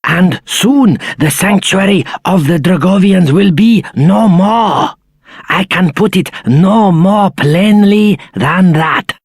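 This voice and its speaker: Stately fantasy elder, husky, slow, formal, grave, courteous. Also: male voice, high-pitched, dry recording, studio quality, full character voice. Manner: formal, deliberate. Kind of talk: video game voice acting.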